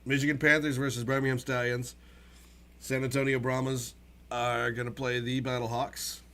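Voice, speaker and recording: Male sports co-host, mid-pitched, casual update delivery, faint noise behind him. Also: flat delivery, moderate pace, fairly bright tone.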